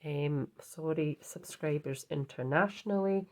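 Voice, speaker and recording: A woman with a hoarse voice from a cold, chatting casually on her craft vlog and showing her project, with many ums and restarts. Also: deep voice, measured pace. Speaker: craft channel host.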